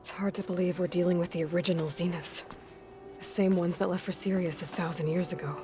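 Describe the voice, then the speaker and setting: A guarded young American huntress from a video game, acted by a woman. She speaks in a slightly low voice, understated and serious, keeping her sentences short. Music plays clearly behind her. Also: steady tone, fairly quick, close to an everyday voice. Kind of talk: naturalistic video game acting.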